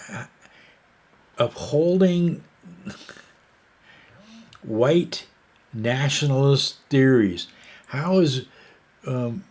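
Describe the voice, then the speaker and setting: A man's voice: mid-range voice, darkish tone. A man from the United States giving a casual, rambling opinion monologue, hesitant and full of fillers and restarts.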